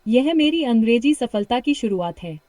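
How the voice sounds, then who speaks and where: Brief: female coach, British accent, slightly high voice, encouraging, slow repeated drill lines. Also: expressive, no room sound. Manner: warm encouragement, slow repeated practice sentences. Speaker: English speaking coach. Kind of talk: guided shadowing practice lesson.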